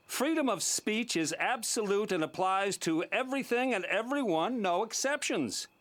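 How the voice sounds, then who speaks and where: An actor playing a pompous founding-father statesman in an animated comedy, declaring things emphatically and with certainty. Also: high voice, moderate pace. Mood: assertive, pompous.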